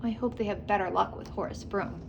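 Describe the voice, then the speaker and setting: A woman's voice: mid-range voice, fairly quick, gravelly, muffled recording, background noise. A woman reading a storybook aloud as host, expressively, with asides to the listener. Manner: expressive, acts out characters. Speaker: host of a children's read-aloud.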